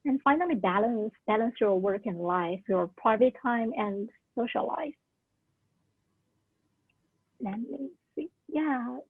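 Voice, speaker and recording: A woman's voice: on the high side, animated, takes it slowly, pauses often, husky, no room sound. A young woman in her twenties, not an English speaker, earnestly sharing career tips on a video call, with many "like" and "uh" fillers. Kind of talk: casual talk at a virtual orientation. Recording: narrow tinny call audio.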